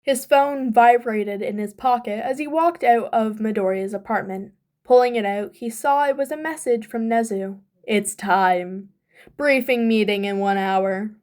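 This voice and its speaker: A woman's voice: fairly high pitch, darkish tone. A woman reading scripted fan fiction aloud as an amateur audiobook narrator.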